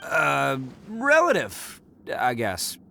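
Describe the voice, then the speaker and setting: A man's voice: a little high, huge pitch swings, very slow, creaky, pushed and bright, slight background noise, heavily acted. A man playing a boastful, goofy, good-hearted young hero in an anime-style game, with cocky, theatrical delivery. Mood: flustered, proud.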